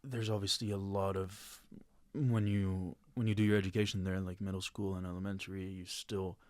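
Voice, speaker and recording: A man's voice: deep voice, flat delivery, moderate pace, no room sound. A young man from Belgium hosting a podcast, asking questions in a casual, hesitant way with frequent fillers. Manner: casual, hesitant, with many likes and restarts. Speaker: podcast host.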